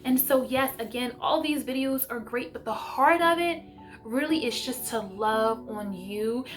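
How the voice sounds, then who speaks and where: Female homeschool vlogger, slightly high voice, effusive and supportive, informal direct address over music. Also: measured pace. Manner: effusive, encouraging, direct to viewers. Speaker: homeschooling YouTube host. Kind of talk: casual vlog chat.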